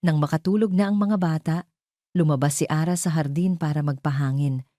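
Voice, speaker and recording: Female audio-drama narrator: very low pitch, slightly dark tone, scripted romance delivery. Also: no room sound, studio quality. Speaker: story narrator.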